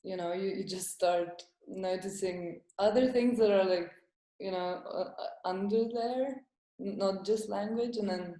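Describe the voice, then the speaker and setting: Estonian-born woman comedian, soft and roomy. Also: mid-range voice. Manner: hesitant, laughs often, halting phrasing. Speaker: comedian guest. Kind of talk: casual interview.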